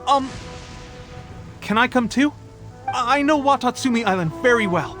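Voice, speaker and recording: A man's voice: very high-pitched, animated, moderate pace, bright, forceful tone, soft background music, heavily acted. A man voicing an eager, loyal young soldier and guide in a video game, earnest and a little hesitant. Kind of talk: scripted game dialogue.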